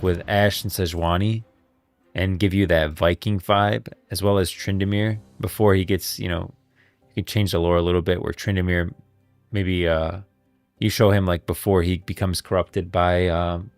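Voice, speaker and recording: Deep-voiced man chatting on a stream. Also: no echo. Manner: rambling, thinking aloud. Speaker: livestream host. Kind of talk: casual livestream chat about game lore.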